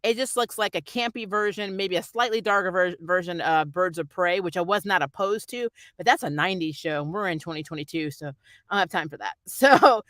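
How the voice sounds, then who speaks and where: Bright-voiced reviewer, dry close recording. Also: mid-range voice, fairly quick, no echo. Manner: rambling, conversational, slangy, mildly exasperated. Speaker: YouTube TV series reviewer. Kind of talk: casual opinionated review chat.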